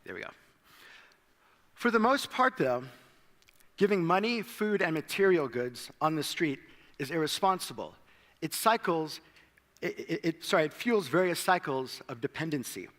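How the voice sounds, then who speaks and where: A man's voice: fairly high pitch, big pitch swings, moderate pace, pauses often, fairly bright tone, long reverb tail. A South African-born man with an American accent giving a conference presentation, mixing light self-deprecating humor into the talk. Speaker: conference speaker. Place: large hall.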